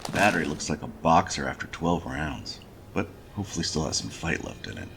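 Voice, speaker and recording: An actor playing a weary, wry noir handyman on a space station, narrating a point-and-click game in a laconic, folksy way with a gravelly voice. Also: a bass voice, big pitch swings, a little fast, a bit bright, soft background music, heavily acted. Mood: wry, matter-of-fact.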